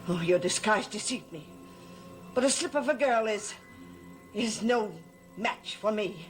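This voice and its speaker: A woman playing a centuries-old scheming servant of dark powers in a gothic soap opera, pleading and menacing with formal, imperious phrasing. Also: medium pitch, animated, measured pace, soft background music, close to an everyday voice.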